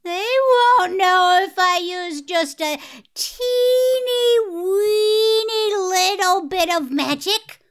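An actress playing a flighty, giggly fairy aunt in a fairytale audio drama, dithering and muttering to herself. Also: female voice, very high-pitched, slow. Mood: gleefully sneaky.

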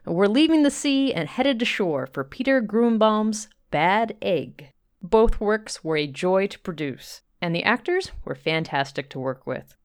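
A woman's voice: mid-range voice, animated, unhurried, clean tone. A woman hosting a radio drama show, reading a scripted introduction in a polished presenter manner. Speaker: host. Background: music.

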